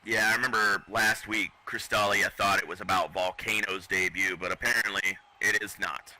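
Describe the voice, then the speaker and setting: A man's voice: lowish voice, steady tone, normal speed, sharp tone, a little hiss or hum, rough recording. A man doing wrestling commentary in an arrogant, boastful heel persona.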